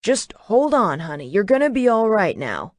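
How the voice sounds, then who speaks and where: A woman voicing a middle-aged male truck driver with a slow, folksy drawl. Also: medium pitch, big pitch swings, no echo, natural voice. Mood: shocked.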